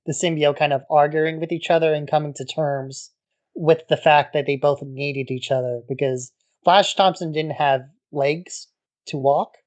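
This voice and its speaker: A man co-hosting a podcast, talking in a relaxed way with wry asides. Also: a little high. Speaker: podcast co-host. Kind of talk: casual podcast discussion.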